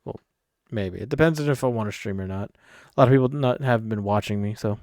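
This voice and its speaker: A man's voice: on the low side, fast talker, darkish tone, no echo, studio quality. A man chatting casually as the host of a video game livestream.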